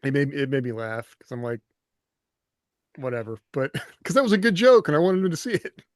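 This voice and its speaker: A man's voice: medium pitch, fairly quick, no room sound, clean studio sound. A man co-hosting a casual podcast chat, speaking informally as if thinking aloud. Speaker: podcast co-host and fiction writer.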